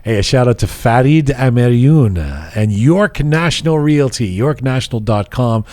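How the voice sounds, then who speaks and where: A man's voice: mid-range voice, normal speed, dry recording. Joking male podcast host, dry sound. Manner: joking, animated storytelling. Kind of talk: casual chat and sponsor reads.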